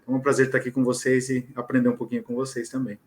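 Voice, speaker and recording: A man's voice: mid-range voice, monotone, rapid-fire. A man who is a panel guest, speaking politely and modestly. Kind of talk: casual panel talk.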